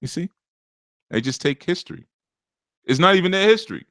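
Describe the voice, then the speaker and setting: A man's voice: high voice, expressive, fairly quick, fairly bright tone, no room sound, clean studio sound. A man giving a casual spiritual teaching on a live show, talking in a conversational, rambling way with many asides.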